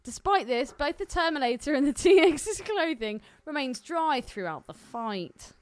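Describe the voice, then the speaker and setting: A woman's voice: sits high, lively intonation, measured pace. A young woman co-hosting a podcast in casual banter, with a cheeky, irreverent manner. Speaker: podcast co-host.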